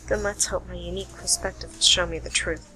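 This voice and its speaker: A woman's voice: fairly low pitch, fairly even intonation, brisk, pushed and bright, soft background music, poor audio. A woman playing a shrewd, self-assured detective in an amateur radio play, explaining with dramatic deliberation. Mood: pensive.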